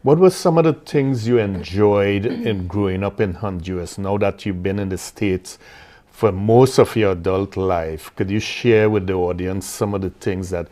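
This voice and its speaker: A man's voice: fairly low pitch, normal speed, mellow. A man from Trinidad with a Trinidadian accent, hosting an interview and asking long questions padded with "you know". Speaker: interview host.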